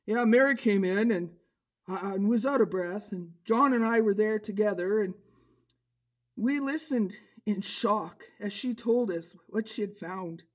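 A bold, eager disciple in a children's puppet show, played by a woman. The voice sits at a middle female pitch, with earnest, expressive storytelling. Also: unhurried, natural voice.

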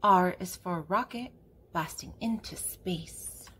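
An older woman reading a children's picture book aloud, speaking in simple, deliberate phrases for young listeners. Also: fairly low pitch, fairly bright tone, husky. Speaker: children's book reader and channel host.

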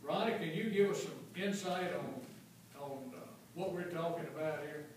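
A man, chairing the meeting and speaking in a brisk, pointed way. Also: fairly high pitch, a little hiss or hum. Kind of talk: meeting discussion. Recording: echoey room from far off.

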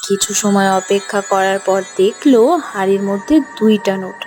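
A woman's voice: medium pitch, flat delivery, normal speed. A woman narrating a scripted children's fairy tale as the storyteller. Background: music.

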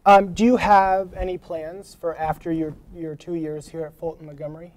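Mellow-voiced young male talk show host. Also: a little high, little pitch movement, normal speed. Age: young adult. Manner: friendly, scripted questions with fillers. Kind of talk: studio interview. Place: small college TV studio. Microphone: lapel mic.

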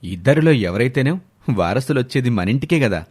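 A man playing a sensible, mildly exasperated husband in a family story, reasoning and gently chiding. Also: mid-range voice, lively intonation, a little fast, breathy edge.

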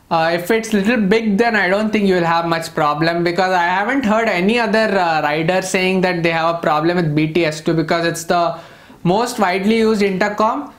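Young Indian man, high-pitched for a man. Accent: Indian English. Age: 20s. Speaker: product reviewer. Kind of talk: casual to-camera gadget review.

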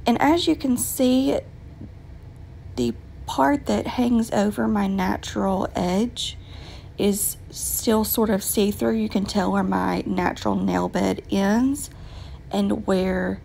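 A woman with a raspy voice from a recent illness, patiently explaining her nail work step by step with frequent ums. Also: medium pitch, slow pace, mellow, slight background noise, low-quality recording. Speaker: nail tutorial vlogger. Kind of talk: casual step-by-step nail tutorial voice-over.

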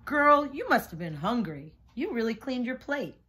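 A woman's voice: on the high side, sing-song, normal speed, pushed and bright, breathy edge, pitched above the performer's own voice, heavily acted. A woman voicing a firm but encouraging storybook mother who gives orders and coaxes. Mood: brisk, instructive. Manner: giving orders, coaxing. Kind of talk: picture-book character reading.

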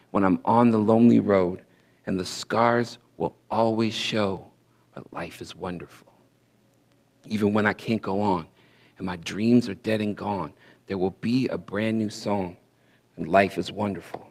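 Low-voiced man performing rhythmic spoken word. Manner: rhymed, sing-song cadence that swells and drops to near-whispers. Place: indoor church stage with curtains. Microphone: headset mic.

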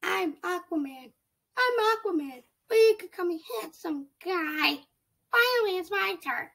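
A squeaky, vain water robot. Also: lively intonation, measured pace, gravelly, sharp tone, heavily acted. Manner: vain, showy.

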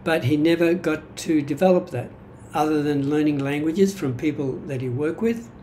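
An elderly man with an Australian accent speaks in a fairly high, soft-edged mellow voice. He talks reflectively and haltingly, often correcting himself. Some background noise sits behind him in a room at home. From Greek-born, grew up in Australia. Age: 70s. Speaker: vlogger sharing personal history. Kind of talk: reflective monologue from notes. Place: small indoor room at home.

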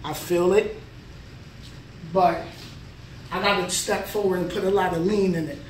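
A man's voice: high-pitched, steady tone, a little slow, recorded from a distance, a little hiss or hum. A man in his thirties giving a casual, motivational workout vlog between sets, filmed on a camera mic a few feet away in a small indoor gym. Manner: motivational, plain-spoken, talks between sets. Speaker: vlogger, trucker. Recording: echoey room, voice a bit off mic.

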